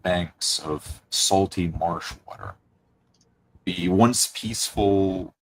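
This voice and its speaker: A man with a very low, husky voice runs a tabletop game. He narrates slowly and descriptively, pausing as he paints each scene. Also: rough recording. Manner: slow, descriptive, with pauses. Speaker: game master.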